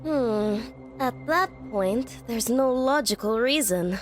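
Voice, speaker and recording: A woman's voice: fairly high pitch, lively intonation, slow pace. Woman playing analytical young female student, composed, formal wording, varied intonation, audio drama, faint music. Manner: formal, precise, clinical.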